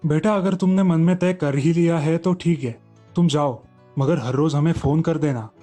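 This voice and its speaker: A protective village farmer who frets over his son , voiced by a male storyteller. The voice is soft-edged and slightly high for a man, in a children's tale with music behind. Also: normal speed, close to an everyday voice. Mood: worried.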